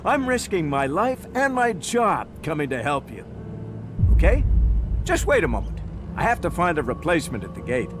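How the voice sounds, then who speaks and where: A grudging, officious town guard from a video game. His high voice swings theatrically as he puffs himself up, with clear music behind him. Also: sing-song, moderate pace. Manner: pompous, theatrical. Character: officious, grudging, self-important male city gate guard. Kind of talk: video game dialogue acting.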